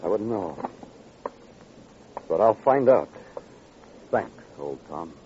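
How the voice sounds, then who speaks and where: An American actor playing a dogged, terse police detective with a clipped, probing manner in an old-time radio crime drama. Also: male voice, sits low, lively intonation, slow, mellow. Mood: businesslike. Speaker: lead actor. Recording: muffled, noisy vintage radio recording.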